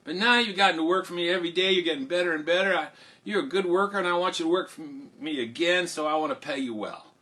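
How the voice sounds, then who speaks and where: A kindly storybook farmer, put on by a male storyteller. He is plain-spoken and encouraging, in a raised, bright and forceful voice with quick bursts. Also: sits high, voice pushed up. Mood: approving. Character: kindly farmer, an approving employer of Jack.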